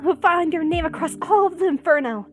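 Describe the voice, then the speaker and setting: A woman voicing an eager, excitable shop companion in a visual novel, with bubbly, emphatic delivery. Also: very high voice, a little fast, faint music behind, pitched above the performer's own voice. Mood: excited.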